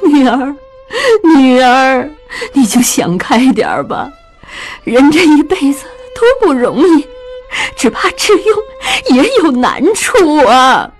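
A frightened middle-aged mother begs her daughter in a high, tearful voice, as a male storyteller acts her out. Her words come slowly and break with crying, over soft music. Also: very animated, exaggerated voice. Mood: pleading tearful. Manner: pleading, tearful. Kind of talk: radio storytelling.

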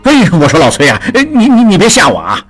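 A man voicing an excitable, easily rattled merchant in an audiobook, talking in fast, stammering exclamations. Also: medium pitch, husky, faint music behind, heavily acted. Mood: flustered. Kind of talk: audiobook character voice.

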